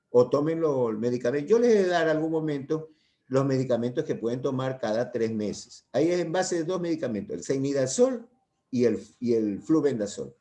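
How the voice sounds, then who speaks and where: An older male lecturer speaks in a mellow, dark-toned voice. He talks informally and drifts between points with hesitations and restarts. He keeps checking in with his students as he teaches a medical class. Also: on the high side. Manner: rambling, hesitant, full of "¿ya?" and "¿no?" tags.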